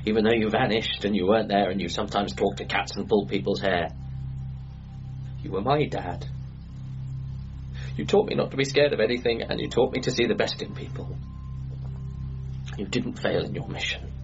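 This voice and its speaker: An actor playing an earnest, grieving grown son who speaks sincerely, haltingly and wryly in a radio comedy drama. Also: male voice, lowish voice, lightly acted. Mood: heartfelt, sad. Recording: muffled broadcast with music underneath.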